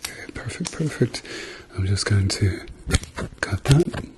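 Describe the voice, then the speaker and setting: A man speaking softly to the listener as a doctor in an ASMR medical roleplay, murmuring reassuringly and often slipping into whispers. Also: lowish voice, lively intonation, measured pace, background noise. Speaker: ASMR performer playing a doctor.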